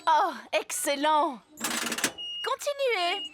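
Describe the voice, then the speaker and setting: Une actrice à l'français joue une lapine adulte de dessin animé, empressée et étourdie. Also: female voice, very high-pitched, very animated, drawn-out words, noisy background, heavily acted. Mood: eager. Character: eager, scatterbrained adult female rabbit recycler. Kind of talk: children's cartoon dubbing. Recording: music behind the voice.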